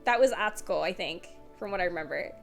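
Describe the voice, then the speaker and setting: A woman streamer reacting live to a game in a casual, expressive, excitable way, often repeating words. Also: fairly low pitch, fast, gravelly, faint music behind.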